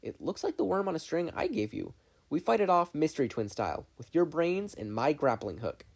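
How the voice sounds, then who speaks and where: A man voicing an excitable, bold young twin girl in a story reading. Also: on the high side, brisk, creaky, pitched above the performer's own voice, full character voice. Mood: gung-ho.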